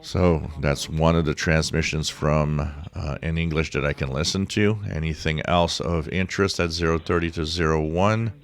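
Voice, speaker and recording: A man with a very low, gravelly voice gives an informal tutorial. He takes his time and thinks aloud as he explains, like a hobbyist showing how he does things. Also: steady tone, normal speed, hardly any pauses. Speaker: shortwave radio hobbyist presenting a tutorial. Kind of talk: casual explanatory screen-share tutorial.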